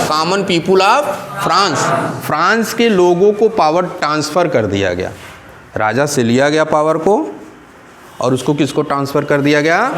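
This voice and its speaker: A male teacher giving a classroom lecture, asking questions and answering them himself and repeating key terms in English and Hindi. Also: on the high side.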